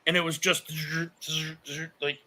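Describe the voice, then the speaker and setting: A man's voice: on the high side, level tone, normal speed, bright, forceful tone. A man in his forties hosting a casual livestream chat, rambling and correcting himself as he goes. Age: 40s. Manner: rambling, self-correcting, laughs often. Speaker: channel host.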